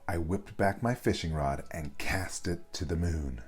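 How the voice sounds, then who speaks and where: A man with an extremely low, somewhat husky voice reads a children's bedtime story. His delivery is expressive and dramatic, and light music plays behind him. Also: measured pace. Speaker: storybook reader.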